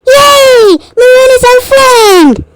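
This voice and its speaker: High, excitable, clingy cartoon friend by a woman. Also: slow pace, dry recording, raised voice, full character voice. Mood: overjoyed. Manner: pleading, insistent.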